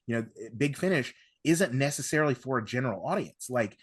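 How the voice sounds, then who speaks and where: A man in a casual podcast discussion, with an ordinary mid-range pitch. He talks in a discursive, analytical way, building his point as he goes. The sound is close and dry. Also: animated, brisk, breathy edge, dry recording. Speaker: podcast panellist. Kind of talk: casual discussion of an audio drama.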